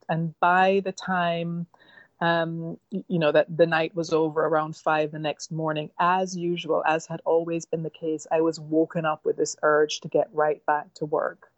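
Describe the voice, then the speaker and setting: Middle-aged novelist with a mixed Jamaican-English accent; low, flat delivery, reflective, muffled lo-fi recording. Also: female voice, dry recording. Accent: mixed Jamaican, Caymanian and English. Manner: reflective, measured, with filler ums. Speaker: novelist guest. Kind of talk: interview conversation.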